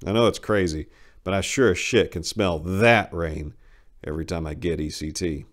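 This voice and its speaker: A man's voice: deep voice, moderate pace, natural voice. A man voicing a big middle-aged Vietnam veteran in a dramatic story reading, plain-spoken, confessional and sardonic, haunted but dryly wry. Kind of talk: first-person dramatic short-story reading.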